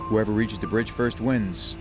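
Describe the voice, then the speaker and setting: A low-pitched, smug steam engine acted by a man who speaks with ominous deliberation, as if spinning a dark tale. It is an audio drama character, with music clearly behind the voice. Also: steady tone, normal speed, natural voice. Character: scheming, smug male engine, spinning ghost tales. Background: music, cricket and insect night sounds.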